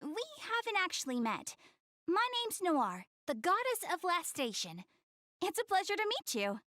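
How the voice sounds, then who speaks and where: High girlish voice, young anime game goddess, swooping intonation, prim, proud, easily flustered, dry studio sound. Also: wildly swooping pitch, sharp tone, no echo, exaggerated voice. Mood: polite, eager. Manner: prim, flustered, stammering, quick to backtrack. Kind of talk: anime game English dub.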